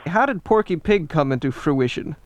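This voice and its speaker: A man's voice: on the high side, lively intonation, moderate pace. A man working as a radio interviewer, putting questions to a guest in a conversational way.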